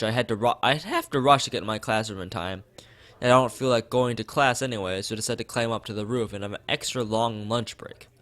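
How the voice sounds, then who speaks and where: A man reading visual novel text aloud as a let's player, often stumbling and rereading phrases. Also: on the low side. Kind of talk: reading game text aloud, narration and dialogue. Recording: guitar music behind the voice. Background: loud guitar game music.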